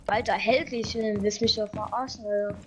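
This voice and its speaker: A woman's voice: medium pitch, steady tone, moderate pace. A woman chatting casually as a co-player in a game, blunt and slangy. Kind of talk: casual gaming voice chat. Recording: noisy gaming voice chat.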